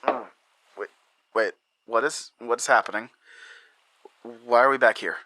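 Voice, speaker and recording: An actor playing a grieving, trapped husband and father in a horror audio drama, halting and stammering, bitter and confused. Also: medium pitch, noisy background, lightly acted. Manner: halting, stammering, repeating words.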